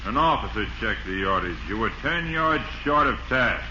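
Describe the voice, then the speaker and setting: A man's voice: fairly low pitch, expressive, moderate pace, lightly acted. Man as a brusque, bullying prison guard. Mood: bullying, mocking. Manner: brusque, mocking. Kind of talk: 1940s radio drama acting. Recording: noisy lo-fi 1940s radio recording.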